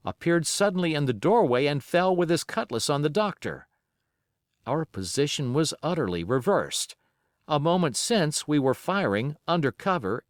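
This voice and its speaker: A man narrating an adventure novel as an audiobook, in an expressive, measured, dramatic storytelling style. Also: a little high, no echo, clean studio sound.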